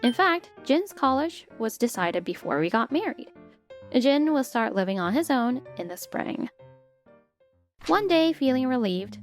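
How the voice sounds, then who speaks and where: A woman voicing a polite, put-upon stepmother who narrates her own story in an expressive manga dub. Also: high-pitched, unhurried. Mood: narrating, troubled. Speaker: narrator and lead character. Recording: music bed behind the voice.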